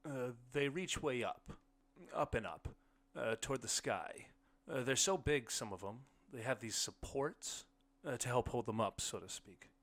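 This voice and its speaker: Audiobook role: male reader as unsure everyman, halting and fumbling for words, bright forceful voice. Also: medium pitch, animated, voice pushed up. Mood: fumbling, earnest. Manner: hesitant, fumbling, searching for words. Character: awkward, unsure ordinary man struggling to explain. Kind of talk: audiobook character dialogue.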